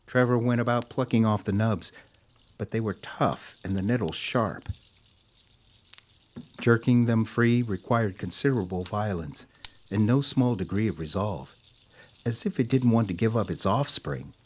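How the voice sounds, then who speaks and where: A man's voice: lowish voice, measured pace, a little mellow, a little hiss or hum. A man reading scripted story narration as the narrator of an audio drama.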